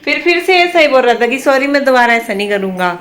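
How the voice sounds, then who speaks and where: A mother from Himachal Pradesh chats through a home vlog at an easy pace. She talks plainly and sometimes scolds her kids. Household noise hums clearly under her voice. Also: sits high, animated, fast, a bit bright. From Himachal Pradesh, India. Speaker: vlogger, mother. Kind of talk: casual home vlog chat. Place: home indoors. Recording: noisy home recording.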